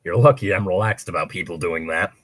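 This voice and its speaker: A man's voice: deep voice, brisk, fairly bright tone, breathy edge, no room sound. A man reading fan fiction aloud casually and breaking in with sarcastic, crude wisecracks. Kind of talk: casual read-aloud with joking commentary.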